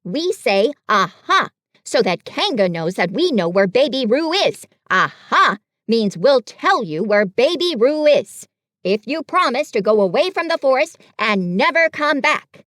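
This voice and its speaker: A woman voicing a fussy, bossy male rabbit in a storybook reading, loud and self-important. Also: fairly high pitch, big pitch swings, unhurried, no echo, clean studio sound.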